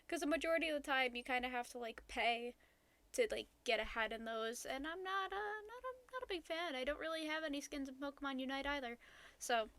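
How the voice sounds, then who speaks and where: Hesitant woman with a higher voice. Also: a bit bright. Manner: hesitant, hedging, many ums and restarts. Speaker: podcast co-host. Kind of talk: casual podcast chat.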